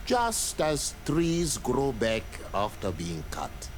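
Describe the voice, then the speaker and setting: A grave, dignified clan chief from a video game, stern but fair. He speaks slowly and deliberately, with formal weight on each phrase. The pitch is medium for a man, with slight noise behind. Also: huge pitch swings. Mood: solemn, welcoming.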